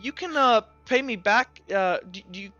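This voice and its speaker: A man's voice: very high voice, lively intonation, moderate pace, pushed and bright, highs cut off, soft background music, pitched above the performer's own voice, heavily acted. A man voicing a timid, generous fellow in a roleplaying game, stammering and pleading hesitantly. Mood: gentle, pleading.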